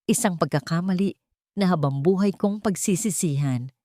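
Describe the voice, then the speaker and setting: A woman's voice: on the low side, moderate pace, dark tone, no room sound, clean studio sound, natural voice. A woman voicing an earnest, remorseful CEO father who pleads emotionally in an audio romance story. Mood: pleading, remorseful.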